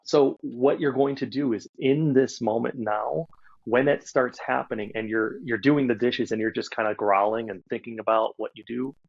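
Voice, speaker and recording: A man with a gravelly, husky voice works as a coach in a one-to-one session. He speaks directly to the listener, instructing with firm emphasis. The pitch sits in an ordinary male range, and the audio is a little dull and lo-fi. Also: fairly even intonation, moderate pace. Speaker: mindset and relationship coach. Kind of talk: live one-to-one coaching talk.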